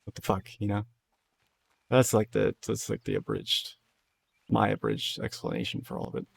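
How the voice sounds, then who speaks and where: Hoarse, gravelly man chatting on stream. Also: fairly low pitch, level tone, normal speed. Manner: rambling, loose, swears freely. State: tired. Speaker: game streamer. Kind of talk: casual live-stream chat.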